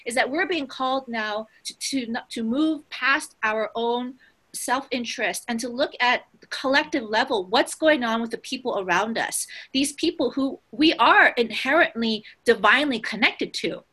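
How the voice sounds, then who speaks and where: A woman with a fairly high, bright voice speaks in an earnest, conversational way. She sounds like a spiritual teacher talking frankly, leaning on her points and swearing casually. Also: moderate pace, breathy edge.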